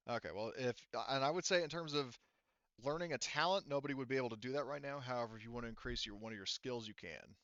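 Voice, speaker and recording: A man runs a tabletop game as game master in casual conversation. His voice sits at an average pitch. The recording is slightly dull and very dry. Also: quick pace, fairly bright tone, dry recording.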